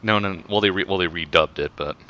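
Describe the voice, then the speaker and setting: A man's voice: sits low, quick pace, bright, forceful tone, muffled recording. A man co-hosting a casual chat among friends, chiming in with short remarks and jokes. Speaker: podcast co-host.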